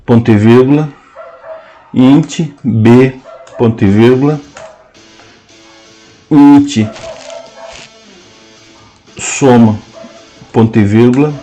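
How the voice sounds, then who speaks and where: A man with a Brazilian Portuguese accent teaching a programming lesson, dictating code symbol by symbol with hesitant pauses. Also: mid-range voice, mellow, lo-fi sound, soft background music. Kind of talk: slow screen-cast coding lesson, dictating code aloud.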